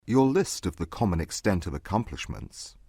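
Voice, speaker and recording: Proud, grave young gentleman male actor, reserved and formal, husky, dry close sound, period audiobook. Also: low-pitched, lively intonation, moderate pace, no echo. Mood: grave, critical. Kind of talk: dramatized audiobook acting.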